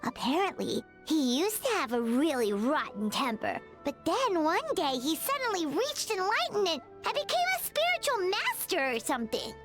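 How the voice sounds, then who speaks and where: A woman voicing a tiny, chirpy, childlike female companion creature in a video game, with a squeaky voice. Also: big pitch swings, normal speed, hardly any pauses, soft background music. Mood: chatty, curious. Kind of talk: video game dialogue acting.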